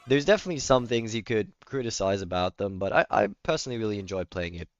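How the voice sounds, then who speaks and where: Deep, clean-voiced German-accented man streaming. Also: animated, fast talker, clean tone, no echo, lo-fi sound, faint music behind. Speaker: livestreamer playing a video game. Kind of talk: casual chat, replying to stream comments.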